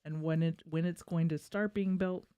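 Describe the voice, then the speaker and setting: A woman's voice: sits low, steady tone, normal speed, warm tone, smooth, no room sound, dull audio. An American woman co-hosting a talk show, talking casually through local news. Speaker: co-host giving local news.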